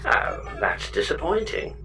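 A woman's voice: very deep voice, wildly swooping pitch, a little slow, creaky, bright, forceful tone, recorded from a distance, heavily acted. A woman playing a chatty, scatterbrained, possessive spaceship computer in a comedy sci-fi audio drama, with breezy theatrical delivery.

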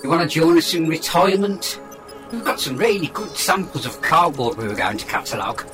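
Retired robot pod played by a woman, low and lively, dry understated chat, comedy radio play over music. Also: brisk, poor audio. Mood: matter-of-fact. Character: retired robot pod, dryly chatty, slowly powering down. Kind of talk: scripted audio comedy drama. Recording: music behind the voice.

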